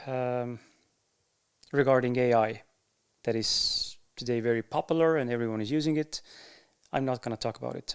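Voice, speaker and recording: A man with an Estonian accent, a podcaster speaking to camera in a candid, unhurried way, with frequent pauses to find his words. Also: medium pitch, smooth. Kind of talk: casual talk to camera.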